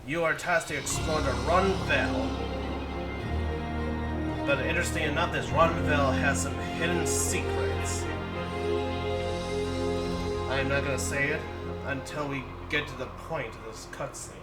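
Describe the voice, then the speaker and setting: A man giving amateur commentary on a video game's story, explaining it haltingly and stumbling over names. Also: fairly high pitch, steady tone. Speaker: gaming video narrator. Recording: distant mic under loud game music. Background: video game music.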